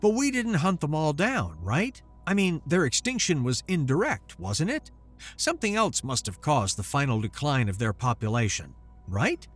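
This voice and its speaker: A man voicing a sheepish young student pleading with his grandfather in a quick, flustered way. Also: a little high, big pitch swings, a bit bright, soft background music.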